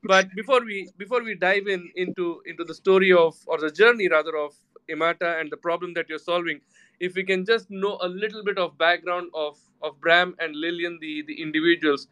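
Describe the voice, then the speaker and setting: Bright-voiced man hosting, stumbling over repeats. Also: high-pitched, a little fast, smooth, low-quality recording. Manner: repeats words and restarts phrases often. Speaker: podcast host. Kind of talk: hosting a live audio podcast chat.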